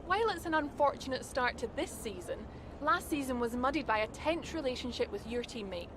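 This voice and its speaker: An actress playing a polite, probing motorsport press interviewer in a video game cutscene, composed in manner. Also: female voice, sits high, expressive, a little fast, noisy background.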